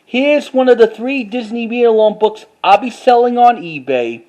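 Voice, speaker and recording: A man with a distinctly high-pitched voice presents collected items in a casual vlog style. His delivery is informal and halting, and he often repeats phrases as he moves through his show-and-tell. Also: lively intonation, a little mellow, lo-fi sound. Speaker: collector showing items to camera.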